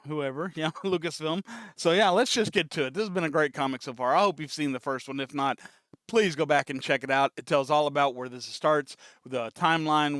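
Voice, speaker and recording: A man's voice: fairly high pitch, a bit bright, smooth, no room sound, clean studio sound. A man speaking casually as the host of a reaction channel.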